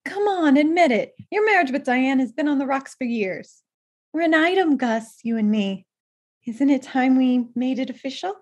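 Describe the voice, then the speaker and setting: An actress playing a seductive, insistent mistress in a radio play. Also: female voice, high voice, lively intonation, moderate pace, darkish tone, slightly rough. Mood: pleading, insistent.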